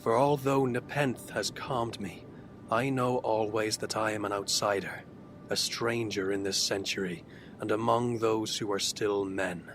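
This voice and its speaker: A man's voice: lowish voice, fairly even intonation, unhurried. A man playing a tormented, reclusive outcast in a horror audio drama, narrating in an ornate, theatrical and intense manner. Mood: bleak, resigned. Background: dark fantasy ambience music.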